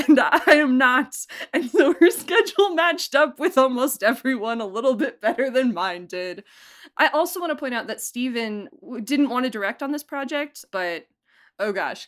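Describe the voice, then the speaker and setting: A woman chatting casually and effusively as a podcast guest, talking as a producer and director and often breaking into laughter. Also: fairly high pitch. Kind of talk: casual behind-the-scenes interview chat.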